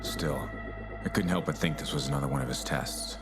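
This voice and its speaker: An actor playing a grave, determined young soldier, solemnly and deliberately narrating his war. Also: a bass voice, loud music behind the voice. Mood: somber, reflective. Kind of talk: dramatic scripted narration and military dialogue.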